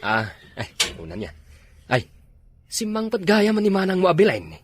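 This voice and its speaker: An actor with an Ilocano accent playing a cajoling village drinking friend in a comedy drama. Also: male voice, high voice, huge pitch swings, moderate pace, a little hiss or hum.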